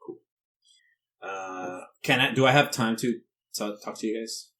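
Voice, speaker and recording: A man's voice: lowish voice, a little slow, pushed and bright, rough recording. A man chatting casually as a player at a tabletop game, questioning and a bit flippant.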